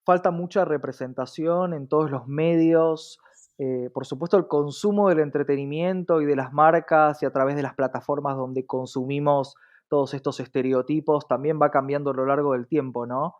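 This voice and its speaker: A man's voice: on the high side, steady tone, moderate pace, a little mellow. A man with a Latin American Spanish accent explaining his views as an interview guest, often adding short check-in tags, on a muffled recording. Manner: frequent rhetorical check-in tags. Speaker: diversity consultant, interview guest. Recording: dull, lo-fi sound.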